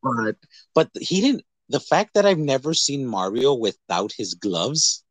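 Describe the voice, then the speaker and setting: A man talks as a podcast co-host in a dry, close recording. He rambles through casual movie chat with animated, exaggerated reactions. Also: mid-range voice, measured pace, no room sound.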